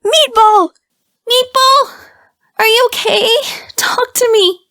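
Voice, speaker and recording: A woman's voice: very high voice, takes it slowly, dry recording, studio quality, full character voice. A woman voicing a small, caring, devoted female animal in a visual novel. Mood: distressed. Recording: loud music over the voice.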